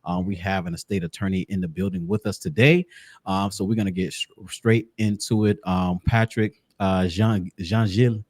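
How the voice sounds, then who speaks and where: A man with a fairly low voice hosts a casual interview. His manner is informal and encouraging, and he likes to double up short phrases. The sound is close and dry, with very little room in it. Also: steady tone, moderate pace, darkish tone, no room sound. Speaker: podcast host.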